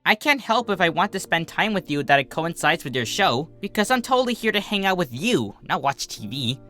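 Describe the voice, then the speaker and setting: A man's voice: on the high side, big pitch swings, brisk, sharp tone, soft background music, pitched above the performer's own voice. A man voicing a brash, defensive, teasing young female athlete pony with casual, slangy, huffy delivery.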